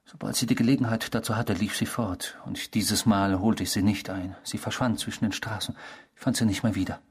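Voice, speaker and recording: An actor playing a smug, remorseless criminal confessing to a stranger in a German radio drama, speaking in a measured, provocative, self-satisfied way. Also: male voice, lowish voice, fairly even intonation, husky. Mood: self-justifying.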